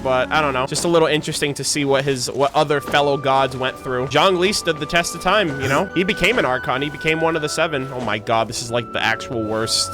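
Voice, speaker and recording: A man streaming a game with casual live reactions, speaking in a slangy, expressive, exclamatory way. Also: on the high side. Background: game music.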